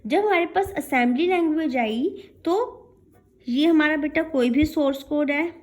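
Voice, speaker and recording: A woman's voice: high-pitched, unhurried. A woman giving an explanatory lecture as a programming teacher, asking and answering her own questions with frequent check-in tags and mixing in English technical terms.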